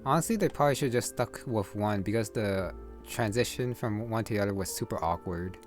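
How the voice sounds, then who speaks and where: A man's voice: fairly low pitch, brisk, soft background music. A man giving casual, off-the-cuff commentary on a video game in a hesitant, rambling way.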